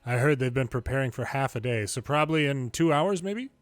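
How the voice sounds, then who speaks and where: A man reading story dialogue aloud from a video game in a playful way, switching pitch between characters and adding his own commentary. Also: lively intonation, a little fast, dry recording. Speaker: longplay host reading a game's dialogue aloud. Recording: music behind the voice. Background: game music.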